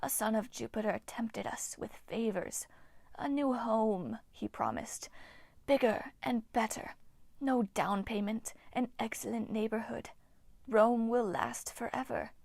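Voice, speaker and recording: A woman voicing a bitter, ancient female water nymph in a slow, hissing whisper. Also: a little high, gravelly, darkish tone, dry recording.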